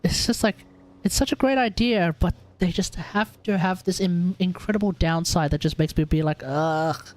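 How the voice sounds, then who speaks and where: A man's voice: high voice, moderate pace. A young man with a laid-back, musing manner, casually commentating on a game and chatting with viewers. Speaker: live streamer.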